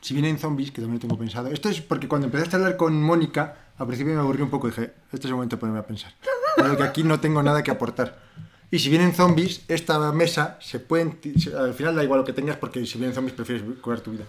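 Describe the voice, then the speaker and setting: A male podcast co-host with a Spanish accent from Spain. He talks very fast in a medium-pitched voice. His style is casual, chatty and humorous. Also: a little hiss or hum.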